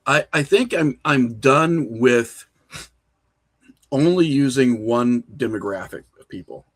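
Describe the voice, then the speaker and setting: A man's voice: mid-range voice, expressive, slow. A middle-aged man talking casually as an interview guest, opinionated and rambling as he works through his thoughts. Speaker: podcast guest, horror filmmaker and writer.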